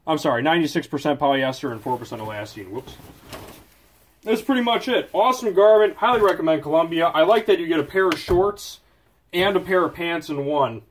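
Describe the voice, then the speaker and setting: A man's voice: fairly high pitch, a little fast. A man giving a casual, off-the-cuff product review at a desk, often correcting himself as he goes. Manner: chatty, off-the-cuff, with self-corrections. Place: indoors at a desk.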